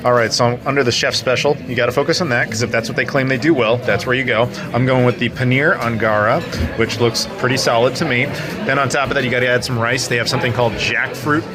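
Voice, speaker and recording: A man from North America hosting a casual travel vlog, chatty and jokey, talking excitedly about food and games. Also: medium pitch, fast talker, music in the background. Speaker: vlog host.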